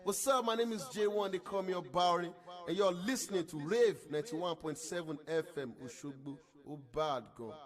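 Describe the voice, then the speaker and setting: A man's voice: sits high, normal speed, few pauses. A man introducing himself as a radio presenter in an upbeat station ident. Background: music.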